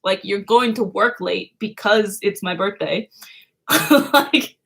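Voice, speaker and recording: A woman's voice: mid-range voice, takes it slowly, vocal fry, a bit bright. A young woman hosting a birthday livestream from home, chatting casually and giggling, with a lot of "like". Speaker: livestream host. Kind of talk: casual chat with viewers. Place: home, indoors.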